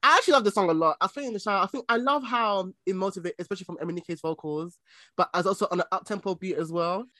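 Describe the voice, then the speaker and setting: A man's voice: high voice, racing, pushed and bright, no echo, studio quality. A man hosting a podcast, chatting emphatically and introducing each segment. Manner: enthusiastic, emphatic, slangy. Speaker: podcast host. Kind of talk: casual chat, presenting segments.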